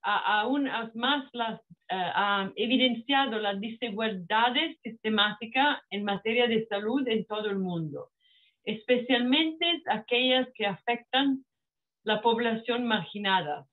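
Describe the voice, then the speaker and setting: A woman whose first language is Italian gives a formal welcome speech in a strong Italian accent, talking haltingly with frequent restarts over a video call. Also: fairly high pitch. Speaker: network manager opening a panel. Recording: phone-like narrow sound.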